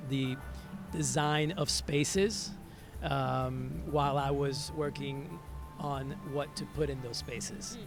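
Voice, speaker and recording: A man from Venezuela, a native Spanish speaker, telling his story as an interview guest in a reflective, halting, conversational way, repeating words as he searches. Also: mid-range voice, creaky. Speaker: interview guest, musician and hospitality entrepreneur. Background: music.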